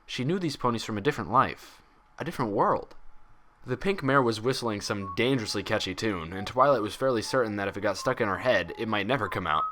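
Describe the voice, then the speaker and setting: Expressive low-voiced man narrating a story. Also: brisk, slight background noise. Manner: expressive, dramatic. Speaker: fan fiction reader, narrator. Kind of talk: dramatic scripted story narration.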